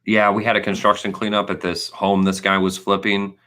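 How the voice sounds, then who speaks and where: A man in his thirties co-hosting a casual live question-and-answer chat, talking in an animated, emphatic, informal way. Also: on the low side, brisk. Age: 30s. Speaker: co-host of a trade channel.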